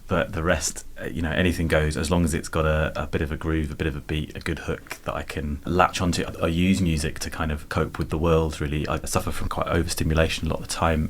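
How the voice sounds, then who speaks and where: A man's voice: extremely low pitch, slight background noise. A man with a southern English accent chatting as an interview guest in a studio, in a discursive, hedging way. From southern England. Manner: discursive, hedging with kind of and you know. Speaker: guest, architect and lecturer. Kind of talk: casual interview conversation.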